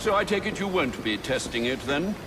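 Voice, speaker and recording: An actor playing an elderly British butler in a video game, formal, dry and quietly caring. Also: male voice, on the high side, very animated, brisk, background noise. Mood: dry, resigned. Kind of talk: dramatic video game cutscene acting.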